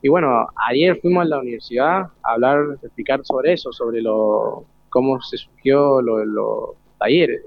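A man's voice: mid-range voice, normal speed, creaky, hardly any pauses, no room sound. A teenage male student with an Argentine accent, telling his experiences informally in an interview. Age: teens, about 17. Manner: informal, youthful storytelling. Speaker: student guest. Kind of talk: casual interview talk. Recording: tinny, phone-like live broadcast sound.

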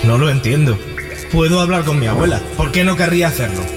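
An actor playing an earnest, eager young musician and grandson in an audio drama. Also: male voice, on the high side, lively intonation, fast, over music, close to an everyday voice.

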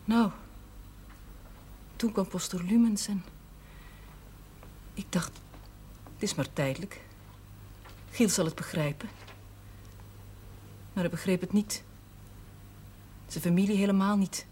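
An actress playing a self-sacrificing priest's housekeeper in a television drama, earnest, plain-spoken and resigned. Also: female voice, mid-range voice, slow pace, long pauses, mellow, close to an everyday voice. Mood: subdued, regretful. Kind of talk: naturalistic television drama acting. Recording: noisy old television soundtrack.

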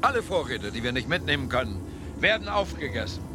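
A man's voice: mid-range voice, big pitch swings, brisk, over loud music, natural voice. An actor playing an expedition leader rallying his men in a radio play, declamatory and commanding. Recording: clear outdoor ambience, slightly distant.

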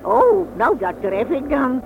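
A blunt chatty old lady in an old radio play, lively swoopy voice, dull noisy tape. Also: high-pitched, slow, heavily acted. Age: older. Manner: blunt, folksy, jocular. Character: feisty, chatty old unmarried aunt. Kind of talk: radio drama acting.

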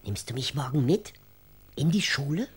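A woman's voice: very deep voice, lively intonation, normal speed, slightly rough, no echo, full character voice. A woman playing a tiny flying granny in a children's radio drama, playful and cheerful, with a sing-song, rhyming manner. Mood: eager, pleading. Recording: music playing behind the voice. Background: plucked guitar music.

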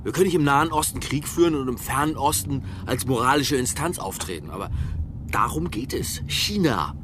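A man acting a middle-aged, self-righteous politician in a radio crime drama, drunk and volatile. His pitch swings widely as he rambles and stammers pompously. Loud car noise sits behind him. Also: animated, brisk, lightly acted. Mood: lecturing. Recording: noisy car interior ambience.

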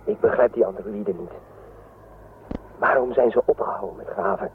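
A man playing a gruff, sceptical space explorer with a low gravelly voice, grumbling in a science fiction radio play. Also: normal speed, natural voice. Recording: phone-like audio with noise.

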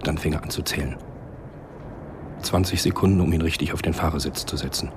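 A man with an extremely deep voice narrates a radio drama. He is measured and sombre in a literary storytelling style, with faint noise behind him. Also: fairly even intonation.

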